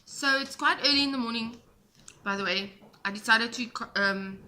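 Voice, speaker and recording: South african lady rambling about knitting at home, bright higher voice, faint room hum. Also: moderate pace. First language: Afrikaans. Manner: chatty, rambling, self-deprecating. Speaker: knitting podcast host. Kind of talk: casual chat to camera. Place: home, indoors. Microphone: camera at a short distance.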